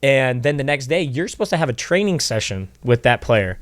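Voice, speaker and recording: A man co-hosting a podcast as a basketball trainer, talking loudly with lots of fillers and restarts. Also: mid-range voice, very animated, a little fast, no room sound. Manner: loud, rambling with many fillers and restarts. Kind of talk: casual podcast chat.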